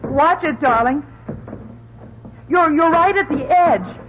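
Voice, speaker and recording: Devoted, courageous space doctor: deliberate pace, earnest drama, faintly noisy vintage radio sound. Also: female voice, high voice. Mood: tense. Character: brave, devoted female doctor and astronaut. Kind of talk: old-time radio drama acting. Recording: muffled, noisy old radio recording.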